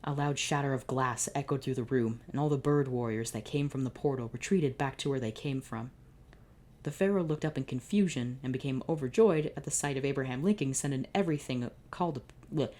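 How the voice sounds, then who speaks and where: A woman with a low, mellow voice reads a fanfic aloud in a flat, deadpan way. She interrupts herself with exasperated, sarcastic comments on the writing. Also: a little fast. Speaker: fanfiction reader.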